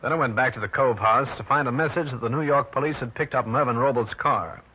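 An actor with an American accent playing a wry, tough private detective in an old-time radio drama, wisecracking and hard-boiled. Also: male voice, mid-range voice, fast, husky, close to an everyday voice. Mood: wry, narrating. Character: wry tough New York private detective, adult man. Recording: dull, noisy old radio recording.

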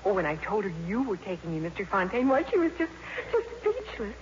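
A woman's voice: medium pitch, sing-song, brisk, lightly acted. An actress playing a dreamy, proud teenage schoolgirl with gushing, girlish talk, in 1950s radio style. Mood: dreamy, thrilled. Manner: breathlessly gushing, girlish slang. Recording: muffled, noisy old radio recording.

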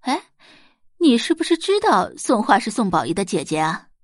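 A woman's voice: mid-range voice, big pitch swings, moderate pace, no echo, studio quality. A woman voicing a smug, catty female schoolteacher in an audiobook, with a gloating, insinuating manner. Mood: probing. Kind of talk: audiobook character acting.

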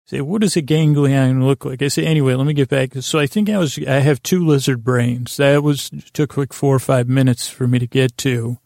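Creaky, meandering male bedtime podcaster. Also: medium pitch, steady tone, a little mellow, no room sound, clean studio sound. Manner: meandering, halting, stammering and repeating words. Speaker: podcast host. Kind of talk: rambling, improvised bedtime-story monologue.

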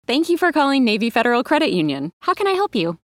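A woman's voice: fairly high pitch, sing-song, quick pace, background noise. A woman playing an obliging call-centre agent in an advert, with polite customer-service delivery.

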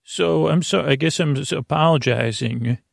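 A man hosting a sleep podcast, rambling through an improvised bedtime monologue in a gravelly, lulling voice, halting and circling back mid-thought. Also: fairly high pitch, no room sound, clean studio sound. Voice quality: gravelly, creaky. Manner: lulling, soothing delivery. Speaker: podcast host.

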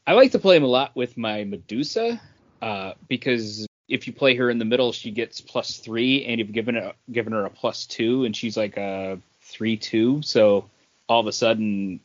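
A man's voice: lowish voice, moderate pace, highs cut off. A man co-hosting a podcast, chatting casually about games. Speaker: podcast co-host.

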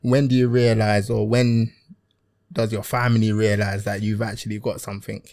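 Creaky-voiced London man hosting casually. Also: on the low side, little pitch movement, moderate pace, a little mellow. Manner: jokey, slangy. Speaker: podcast host. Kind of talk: casual chat interview. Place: podcast studio.

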